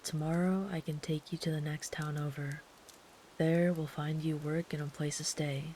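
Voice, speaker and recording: A woman voicing a big, gentle, protective traveler camping in the woods, speaking softly and soothingly, sometimes in a whisper, as ASMR comfort roleplay. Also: deep voice, moderate pace, a little hiss or hum, close to an everyday voice, low-quality recording. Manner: soft, soothing, calm, at times whispered.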